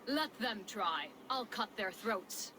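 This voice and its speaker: A woman's voice: mid-range voice, lively intonation, slow pace, bright, forceful tone, a little hiss or hum. An actress playing a fierce, loving young Saxon noblewoman in a game cutscene, poised and resolute. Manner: poised, affectionate but resolute. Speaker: video game supporting character. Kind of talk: dramatic game cutscene acting.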